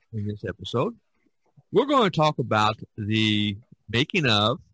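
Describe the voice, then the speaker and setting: A man's voice: on the low side, fairly bright tone, no room sound, poor audio. A man hosting an art podcast, talking loosely with many fillers and restarts. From United States. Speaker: podcast host. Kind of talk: casual podcast talk.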